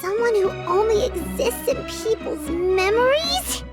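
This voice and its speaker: A woman's voice: big pitch swings, a little slow, music in the background, exaggerated voice. A woman voicing a tiny childlike fairy girl in a video game, chirpy, earnest and curious. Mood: puzzled. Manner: squeaky, childlike, refers to herself by name. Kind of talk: scripted video game voice acting.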